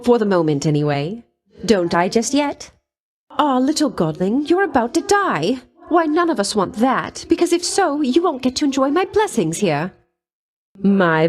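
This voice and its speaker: Actress as a vain, flirtatious game goddess of love, purring and coy, with a lively sing-song lilt. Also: female voice, medium pitch, lightly acted. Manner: coy, teasing, sultry, with sweet-voiced threats. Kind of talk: video game voice lines.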